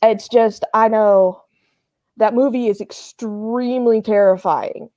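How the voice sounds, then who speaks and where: A woman's voice: fairly high pitch, steady tone, slow, mellow, dry recording. A woman chatting informally and emphatically as a panelist. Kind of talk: casual chat.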